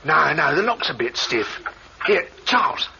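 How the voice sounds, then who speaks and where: Jumpy young crook, bright high-ish voice all over the place, old lo-fi radio. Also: male voice, sing-song, unhurried, gravelly, slight background noise, heavily acted. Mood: jittery. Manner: jittery, pleading. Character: nervous young male thief turned killer. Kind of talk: 1950s radio drama acting. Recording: dull lo-fi old radio recording.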